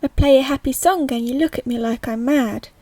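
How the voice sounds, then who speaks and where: A woman playing a sweet, gentle little girl witch in a children's audio play, with an earnest, sing-song rhyming delivery. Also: sits high, a little fast, dry recording. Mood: pleading, sincere.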